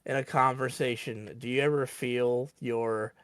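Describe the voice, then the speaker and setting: A man's voice: medium pitch, fairly even intonation, measured pace, a bit bright, dry recording. A man in casual livestream chat, asking the group a curious question.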